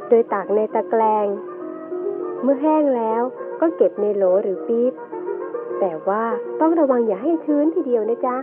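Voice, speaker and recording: A woman playing a gentle mother who patiently teaches her young daughter in an educational radio drama. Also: a little high, lively intonation, normal speed, lightly acted. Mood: gentle, instructive. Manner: gentle, instructive. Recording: tinny band-limited audio with music behind.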